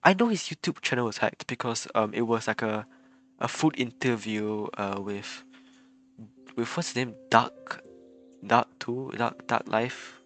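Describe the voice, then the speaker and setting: A man chatting casually on a game stream, halting and often repeating his words. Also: mid-range voice, a bit bright, faint music behind. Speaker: live streamer.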